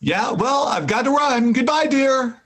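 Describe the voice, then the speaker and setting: A man's voice: very high voice, expressive, measured pace, a bit bright, slight background noise, exaggerated voice. A man playing a breezy, teasing, cheerful husband in 1940s radio comedy style. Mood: amused.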